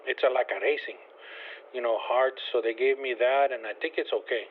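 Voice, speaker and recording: A man's voice: mid-range voice, steady tone, a little fast, slight background noise. An older man speaks with a Spanish accent over a thin, tinny phone line. He talks in a casual, hesitant way, politely, and often doubles back on his words. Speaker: call recipient.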